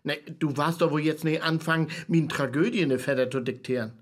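An actor playing a bluff, incredulous sidekick in radio play banter, colloquial and with a Low German accent. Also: male voice, quick pace, close to an everyday voice.

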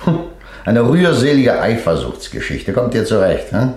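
An actor playing a gruff, dismissive police detective in a German crime radio play, curt and sarcastic. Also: male voice, lowish voice, big pitch swings, moderate pace, darkish tone, roomy sound. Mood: sardonic. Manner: curt, sardonic.

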